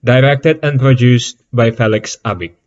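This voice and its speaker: A man's voice: medium pitch, moderate pace, a little mellow. A man working as an announcer, reading credits as scripted narration. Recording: slightly dull, lo-fi recording.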